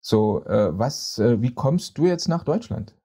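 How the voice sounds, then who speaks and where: A man's voice: mid-range voice, animated, a little slow, warm tone, breathy edge. A man hosting a podcast interview, asking long chatty questions with many hesitant fillers. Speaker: podcast host.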